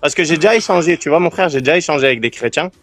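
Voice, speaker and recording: A man who is a guest in a calm live-stream debate, speaking earnestly and in a friendly way while he searches for words. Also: on the high side, very fast, dry recording, soft background music. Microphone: remote live-stream call.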